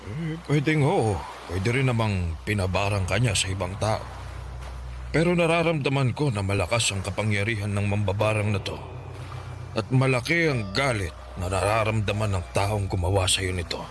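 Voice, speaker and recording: Over clear background noise comes a man acting as an older Filipino village healer, low-pitched and grave. He speaks calmly and deliberately, a knowing radio drama elder who hums in thought. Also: lightly acted. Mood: grave, warning. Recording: clearly noisy background ambience.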